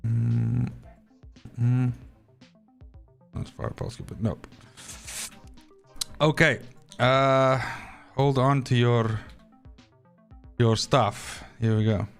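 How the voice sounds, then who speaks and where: Rambling streamer, faint music. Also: male voice, mid-range voice, slow pace, vocal fry, long pauses. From South Africa. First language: Afrikaans. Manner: rambling, swears freely, talks to chat. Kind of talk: live gameplay stream chat.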